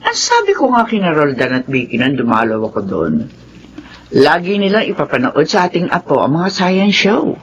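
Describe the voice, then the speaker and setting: Casting: elderly grandfather for radio drama, high animated voice, earnest and advising. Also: moderate pace, muffled recording, slight background noise. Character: old grandfather, earnest and exacting about his granddaughter.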